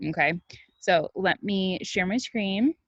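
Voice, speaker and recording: A woman teacher doing an animated, expressive read-aloud for young children, with casual asides. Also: mid-range voice, takes it slowly, no echo, lo-fi sound. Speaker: kindergarten teacher.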